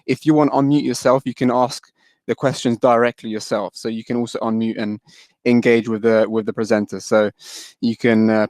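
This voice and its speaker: A man's voice: medium pitch, darkish tone, dry recording. A man hosting a webinar, introducing the guest and explaining housekeeping in a casual way, often saying "uh" and "so". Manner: casual, fills with "uh" and "so". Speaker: webinar host. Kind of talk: moderating an online webinar. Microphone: video call.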